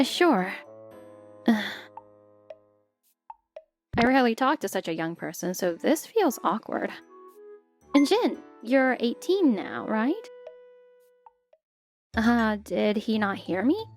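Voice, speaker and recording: A woman voicing a polite, put-upon stepmother who narrates her own story in an expressive manga dub. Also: fairly high pitch, slow pace, pauses often, heavily acted. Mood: bewildered, uneasy. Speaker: narrator and lead character. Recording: music bed behind the voice.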